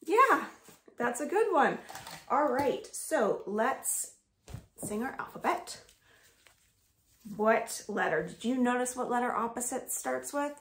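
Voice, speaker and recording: A woman's voice: a little high, sing-song, a bit bright. A woman hosting storytime for young children indoors at home, asking simple questions as she reads aloud. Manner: slow, simple questions addressed to small children. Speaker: children's library storytime host. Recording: echoey room.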